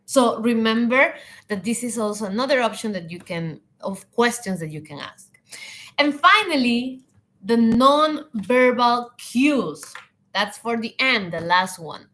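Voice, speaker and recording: A woman whose first language is Spanish, giving earnest leadership advice in English with pauses and restarts. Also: fairly high pitch, animated, a bit bright. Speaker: hospitality leadership coach. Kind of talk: talking to camera.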